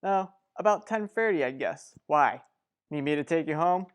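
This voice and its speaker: A man's voice: fairly high pitch, expressive, normal speed, clean tone, natural voice. A man playing a wry, impatient young husband in a radio soap drama. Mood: casual, resigned.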